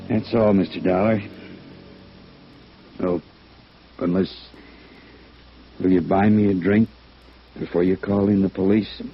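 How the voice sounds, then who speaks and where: An actor playing a ruined alcoholic violinist, weary and remorseful, halting and dramatic in 1950s radio drama style. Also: male voice, deep voice, fairly even intonation. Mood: resigned. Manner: halting, dramatic, self-loathing. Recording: dull vintage radio recording, music behind.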